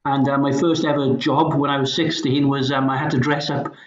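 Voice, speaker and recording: A middle-aged man with a soft, anglicised Welsh accent, talking casually as a podcast guest in hesitant, stop-start phrases. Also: mid-range voice, flat delivery, fairly quick. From Wales, Swansea Valley. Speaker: podcast guest, company founder. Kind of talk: casual conversational talk. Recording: roomy echo, slightly dull sound.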